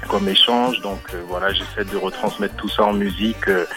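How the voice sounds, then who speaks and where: A man's voice: on the low side, steady tone, brisk. A man from French Guiana answering interview questions as a reggae musician, hesitant, with many fillers and repeated words. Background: music playing under the voice.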